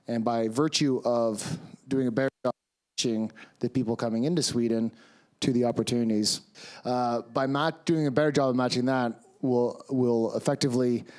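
A Canadian man in his thirties speaks on a conference stage through a handheld microphone. His voice sits at an ordinary middle pitch. He talks in a relaxed, conversational way, with frequent ums and uhs. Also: moderate pace. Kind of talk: conference presentation. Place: large conference hall with audience.

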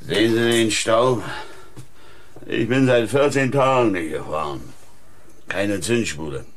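A man's voice: fairly low pitch, animated, a little slow, slight background noise. An actor playing a surly, defensive man roused from sleep, in a German radio crime drama. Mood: grumpy, evasive.

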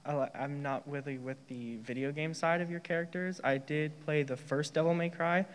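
A man from the audience asking a question in a casual, slightly halting conversational way. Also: fairly high pitch, steady tone. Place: large convention panel room. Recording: echoey hall with long reverb.

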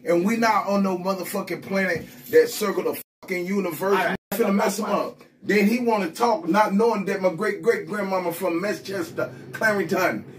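A middle-aged man bragging and riffing in loud, profane banter inside a small vending room, filmed on a phone. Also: high-pitched, moderate pace, few pauses, far from the mic. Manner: loud, brash, profane hype talk with laughter. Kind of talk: boastful rambling banter.

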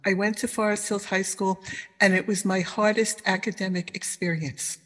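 A woman's voice: mid-range voice, steady tone, normal speed, fairly bright tone, long reverb tail. An older woman from Queens with a strong New York accent, answering interview questions with chatty, emphatic storytelling. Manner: chatty, emphatic, repeats and restarts phrases. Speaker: guest, novelist and literary scholar.

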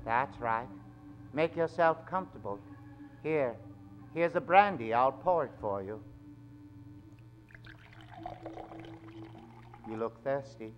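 A man playing a suave, mysterious narrator of a 1940s radio mystery, with drawn-out dramatic phrasing, on a theater stage. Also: medium pitch, expressive, dull audio, faint music behind, pitched below the performer's own voice, natural voice. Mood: inviting, ominous. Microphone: vintage-style stand microphone.

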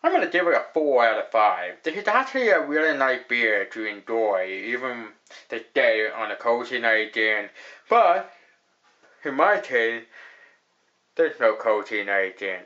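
A man's voice: medium pitch, big pitch swings, a little slow, sharp tone, dull audio. A young man hosting a casual beer review at a table in a small room, rambling with lots of fillers and repeating "actually". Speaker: host of a beer review show.

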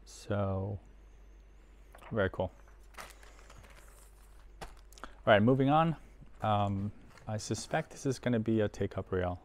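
A middle-aged man giving casual, unhurried unboxing commentary, thinking aloud as he handles things in a workshop. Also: on the low side. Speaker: host of an audio gear unboxing video. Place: indoor workshop at a workbench.

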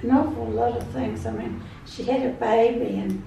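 A woman's voice: a little high, fairly even intonation, moderate pace, vocal fry, mellow. An older woman, chatting casually as a patient during treatment. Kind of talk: casual chat. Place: indoor treatment room. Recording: very echoey room, distant from mic, noisy.

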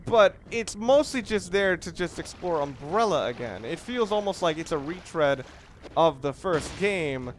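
Male let's-play commentator, animated intonation, casual and rambling with restarts, noisy recording with game sound behind. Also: on the high side. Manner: rambling, halting, repeats and rephrases himself. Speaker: gaming video host, player. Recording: clear background noise under voice.

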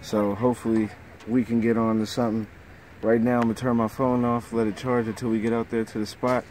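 A man's voice: medium pitch, flat delivery, brisk, distant mic. A young man filming his own fishing trip from a small boat, talking casually to his viewers. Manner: laid-back, talks to viewers. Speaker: fishing vlogger. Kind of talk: casual vlog narration. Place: small boat on a lake outdoors. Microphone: action camera held at arm's length. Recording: loud outdoor wind and water noise. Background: wind and water noise.

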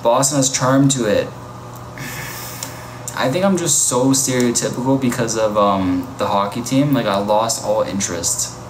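A man's voice: medium pitch, measured pace. A man on a casual livestream, chatting and reacting to his viewers' comments. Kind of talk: casual live-stream chat. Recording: echoey with loud ambient noise.

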